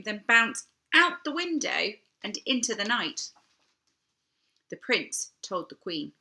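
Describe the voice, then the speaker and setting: An older woman reading a children's picture book aloud, theatrically, with dramatic pauses and emphasis. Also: medium pitch, vocal fry, sharp tone. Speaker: storybook reader.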